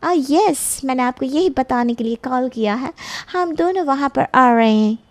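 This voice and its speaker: A woman plays a cheerful young daughter-in-law in a comic cartoon. The voice is high and slightly hesitant, sprinkled with English words, and the sound is very dry. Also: expressive, measured pace, no room sound. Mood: eager. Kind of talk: Hindi comic cartoon acting.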